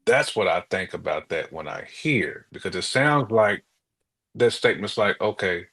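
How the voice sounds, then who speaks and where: A man's voice: fairly low pitch, expressive, moderate pace, fairly bright tone, breathy edge. An American man co-hosting a talk show, chatting casually and giving his opinions.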